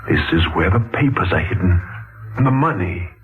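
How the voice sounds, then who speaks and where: An actor playing a solemn, bewildered old patriarch back from the dead, halting and dramatic in 1940s radio style. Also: male voice, sits low, expressive. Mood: urgent recollection. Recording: tinny 1940s radio recording, faint music.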